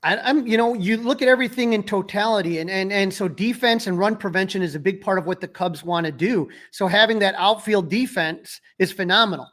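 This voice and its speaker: A man with a notably high-pitched voice talks sports as a podcast co-host. His delivery is casual and conversational, and he states his opinions with emphasis. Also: a little fast, few pauses, a bit bright. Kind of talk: casual sports talk and analysis.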